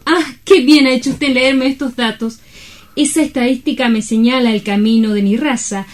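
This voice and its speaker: Woman acting high-voiced melancholy romantic lady. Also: fairly even intonation, moderate pace, natural voice. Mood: wistful. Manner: wistful, affected, dramatic. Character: melancholy romantic lady, dramatic and world-weary. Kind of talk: theatrical dialogue from a comic stage play.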